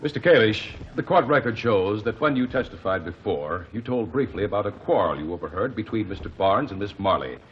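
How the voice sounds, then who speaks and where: A man playing a measured courtroom advocate. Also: on the low side, lively intonation, natural voice. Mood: formal. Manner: measured, formal, probing. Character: Jonathan Kegg, methodical courtroom advocate, adult man. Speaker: lawyer questioning witnesses. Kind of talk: 1940s radio drama acting. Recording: dull lo-fi 1949 radio recording with faint hiss.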